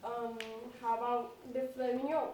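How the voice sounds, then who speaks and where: A young woman acting in an amateur stage comedy as an eager, increasingly exasperated dinner date, expressive and incredulous. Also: fairly high pitch, moderate pace, natural voice. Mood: eager, helpful. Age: teenager or young adult. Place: echoey indoor stage, recorded from afar. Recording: echoey stage, distant mic, faint noise.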